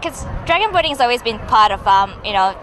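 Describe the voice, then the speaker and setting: Chatty woman, slightly high, outdoors. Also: sing-song, quick pace, fairly bright tone, some chatter behind, low-quality recording. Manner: chatty, uses "like" and "so" a lot. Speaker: interviewee, dragon boat paddler. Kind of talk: casual interview answers. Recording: outdoor interview with traffic noise.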